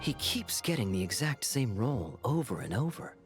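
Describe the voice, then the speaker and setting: A man's voice: mid-range voice, very animated, moderate pace, natural voice. A man voicing a suave, laid-back young swordsman in a video game, sardonic and playfully teasing. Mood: wry. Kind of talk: video game character dialogue. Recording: faint music behind.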